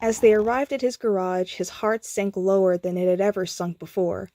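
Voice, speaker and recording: A woman narrating a scripted bedtime story in a theatrical, deliberate manner. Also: mid-range voice, fairly even intonation, dark tone.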